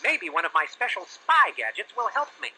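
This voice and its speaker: Casting: an unflappable fox secret agent, wry, very swoopy and piercingly bright, cartoon game with music behind. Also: male voice, high voice, wildly swooping pitch, brisk, a little hiss or hum, full character voice, poor audio. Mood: deadpan, wry. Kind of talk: cartoon spy parody game acting.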